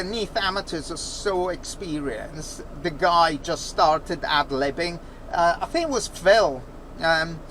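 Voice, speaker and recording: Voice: medium pitch, poor audio. A vlogger talking casually and off the cuff, chatty and enthusiastic, while travelling in a vehicle. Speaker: vlogger and videographer. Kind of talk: casual spontaneous vlog talk. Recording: loud vehicle and road noise.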